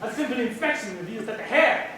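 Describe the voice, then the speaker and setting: A teenage high school actor playing a pompous, exacting detective inspector in a stage play, speaking in formal, precise pronouncements. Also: lively intonation, fast, a bit bright, lightly acted. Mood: clinical, aloof. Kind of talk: theatrical stage acting. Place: large auditorium stage, indoors. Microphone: far camera in audience. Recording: echoey school auditorium, distant noisy camera.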